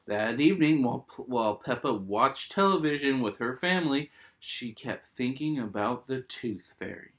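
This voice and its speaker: A man's voice: mid-range voice, expressive, normal speed, hardly any pauses, fairly bright tone. A man reading a children's storybook aloud expressively, with simple asides for young listeners.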